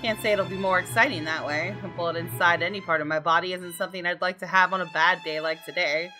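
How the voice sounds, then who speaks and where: A low-pitched woman voicing a haughty, menacing homeowner narrates a visual novel. The delivery is brooding and arrogant, like private thoughts read out dramatically. Music plays clearly behind the voice. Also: fairly even intonation, fast, close to an everyday voice. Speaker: gaming video host.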